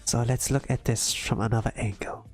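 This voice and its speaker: A streamer voicing a thoughtful, curious young female researcher who muses deliberately and is quietly determined. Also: mid-range voice, fairly even intonation, lightly acted. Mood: musing. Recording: background music under the voice.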